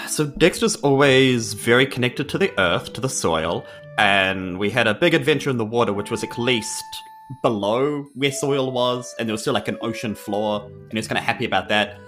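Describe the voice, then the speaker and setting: A man's voice: mid-range voice, moderate pace, a bit bright. A man talks casually as a player in a tabletop game. He describes his character conversationally, with faint music playing behind him.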